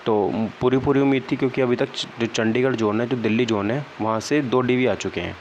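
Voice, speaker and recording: Young male vlogger, mid-pitched, downcast conversational explainer, dull home-made sound. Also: a little fast. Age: young adult. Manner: conversational, often checks in with "okay, right" tags. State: downcast, disappointed. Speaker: exam-prep vlogger. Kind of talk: casual explainer talk to viewers. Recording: dull lo-fi sound, faint background noise.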